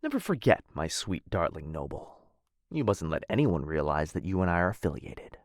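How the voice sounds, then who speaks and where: A man's voice: sits low, sing-song, moderate pace, dry recording, studio quality. A man playing a menacing yet lovestruck assassin in a boyfriend ASMR roleplay, speaking intimately and teasingly in formal, old-fashioned phrases. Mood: smug, teasing. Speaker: voice actor.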